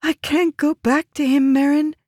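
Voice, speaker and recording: A woman's voice: on the high side, measured pace, a little mellow, no echo, studio quality, voice pushed up, lightly acted. A woman voicing a pleading, calculating noblewoman in an audiobook, intense and feminine. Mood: timid, fearful. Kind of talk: audiobook character dialogue.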